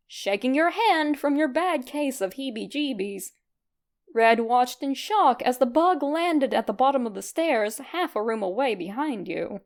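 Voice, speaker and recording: A woman reading fan fiction aloud as an expressive, scripted story narration. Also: high voice. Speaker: fan fiction podfic reader.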